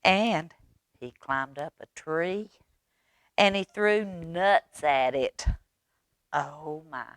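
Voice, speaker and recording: A woman tells a children's story in a slightly low voice at a very leisurely pace. She reads expressively, with dramatic pauses and coaxing questions for young listeners. The sound is close and dry. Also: no echo. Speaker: library storytime reader. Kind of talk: children's read-aloud storytelling.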